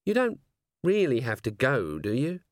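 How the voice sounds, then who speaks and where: A man's voice: medium pitch, wildly swooping pitch, moderate pace, dry recording, clean studio sound. A man voicing a shy, nervous young female dwarf constable who is awkwardly polite and stammers hesitantly in a storybook reading. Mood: shy concern. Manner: hesitant, stammering, fumbling over words.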